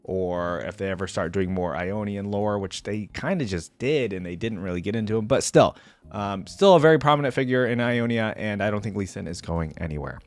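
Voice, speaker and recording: A man's voice: lowish voice, a little fast, no echo. A man hosting a reaction video, giving casual, rambling commentary and addressing his viewers directly.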